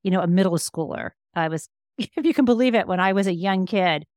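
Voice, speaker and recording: A woman's voice: medium pitch, a little fast, darkish tone, no room sound. An American woman in her fifties from Michigan, speaking articulately and reflectively as she tells personal stories as an interview guest. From Michigan, United States. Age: 50s. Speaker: interview guest, law professor and author. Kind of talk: conversational personal storytelling in an interview.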